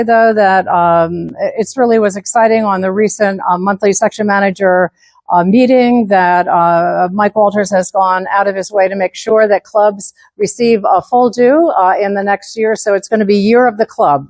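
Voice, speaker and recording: A woman's voice: medium pitch, a little mellow, dry recording. An older woman presents to a conference room in the tone of a former teacher. She stops often on fillers and restarts her sentences, and her mid-range voice sounds dry and close. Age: 70s. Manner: many filler words and restarts; former teacher who moves about while speaking. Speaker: section manager giving a club presentation. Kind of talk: conference talk.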